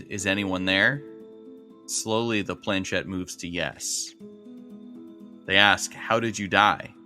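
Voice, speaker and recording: A man's voice: low voice, pushed and bright. A man speaking casually as a guest on a podcast.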